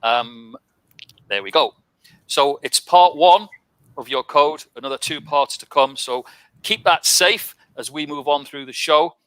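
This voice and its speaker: A man hosting a casual show, relaxed, with frequent "uh" and "um". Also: fairly high pitch, a little slow, dry recording, poor audio. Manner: relaxed, filler "uh" and "um". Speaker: host.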